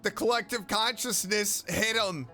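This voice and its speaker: A man doing casual reaction commentary as he plays a game, playful, expressive and exclamatory. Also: high voice, unhurried. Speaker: streamer playing a game. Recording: game music behind the voice.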